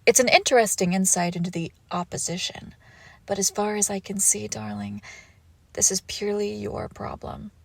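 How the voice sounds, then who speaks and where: A woman playing a seductive, menacing villain crime boss and wounded ex-lover in an intimate audio roleplay, commanding and breathy, often dropping into whispers. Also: medium pitch, steady tone, moderate pace, dry recording. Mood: mocking, dismissive.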